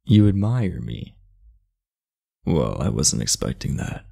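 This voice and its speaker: Casting: man as aloof high school bad boy for ASMR roleplay, very gravelly low voice, blunt yet confiding. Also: mellow, full character voice. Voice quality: gravelly, husky. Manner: laid-back, blunt, confiding. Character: aloof, muscular, blunt teenage class delinquent, secretly earnest. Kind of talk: intimate ASMR roleplay acting.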